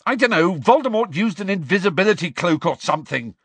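A man's voice: on the high side, very animated, moderate pace, breathy edge, no room sound, pitched above the performer's own voice, exaggerated voice. A man voicing an urgent, hot-tempered teenage boy wizard in an audiobook. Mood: angry, shouting. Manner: loud, urgent, shouting when challenged. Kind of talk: audiobook character acting.

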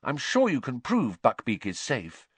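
A man's voice: medium pitch, wildly swooping pitch, a little slow, breathy edge, no room sound, voice pushed up, heavily acted. A man voicing an earnest, bookish teenage girl. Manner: earnest, thoughtful.

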